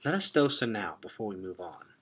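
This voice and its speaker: A man voicing a solemn, guarded young warrior girl in a storybook, in formal, measured words. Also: lowish voice, big pitch swings, slight background noise. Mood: soft, grave. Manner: formal, measured speech without contractions.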